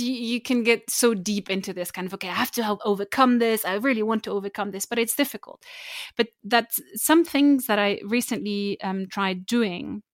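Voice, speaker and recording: A woman with a German accent talks with an even, mid-range pitch. She answers thoughtfully and hesitantly, often restarting her sentences. The sound is dry and close. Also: no echo. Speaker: guest. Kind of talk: reflective interview conversation.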